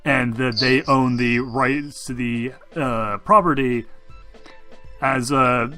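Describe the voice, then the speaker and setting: A man running a tabletop game as game master, talking casually and haltingly with some swearing. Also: mid-range voice, fairly even intonation, bright, forceful tone, faint music behind. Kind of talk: casual tabletop game narration over a group call.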